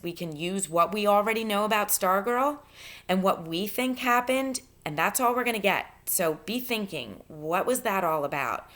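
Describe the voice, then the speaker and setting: A woman's voice: fairly low pitch, fairly bright tone. A woman reading a novel aloud as a teacher and explaining it directly to her students.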